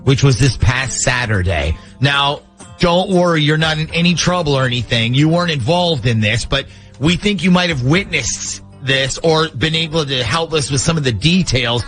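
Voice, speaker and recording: A man's voice: a little high, expressive, normal speed, a bit bright, soft background music. A man hosting a morning radio show, talking through a prank call segment.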